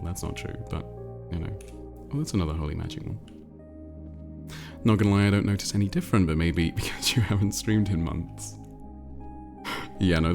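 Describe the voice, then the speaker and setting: Male livestream host, low and creaky, stuffed-up nose, rambling casual chat, faint music behind. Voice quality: nasal, congested. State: congested with a cold. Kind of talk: casual livestream chat, reading viewer messages aloud.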